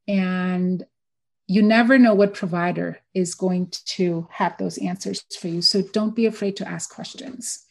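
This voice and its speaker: This is a woman who speaks as a dentist guest on an interview. She explains patiently and often leans on little quoted examples. Her voice sits in an ordinary middle pitch. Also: darkish tone. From India. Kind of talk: casual interview answers.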